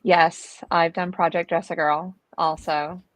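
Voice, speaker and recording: A middle-aged mother chatting easily as a guest on a sewing stream, relaxed and agreeable. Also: lowish voice, a little slow, dry recording. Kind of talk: casual chat.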